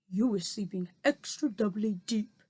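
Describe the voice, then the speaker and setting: A woman voicing a big, gentle male giant who talks in slow, muddled grammar while reading a storybook aloud. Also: medium pitch, slightly rough, voice pushed down. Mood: explaining.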